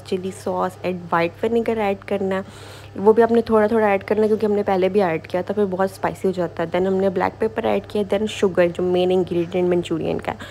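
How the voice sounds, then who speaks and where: A woman's voice: medium pitch, darkish tone. A woman giving a casual step-by-step recipe voice-over as a home cooking host, informal and repetitive, mixing in English kitchen words.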